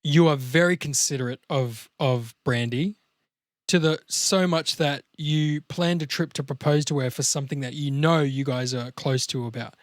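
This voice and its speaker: A man's voice: fairly high pitch, fairly even intonation, moderate pace, dry recording, studio quality. A man chatting casually as he explains acting ideas and hosts a script discussion.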